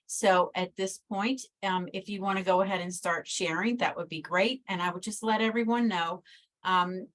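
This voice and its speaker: A woman's voice: medium pitch, fairly bright tone. A woman speaking as a webinar moderator, giving a formal, prepared introduction over a video call.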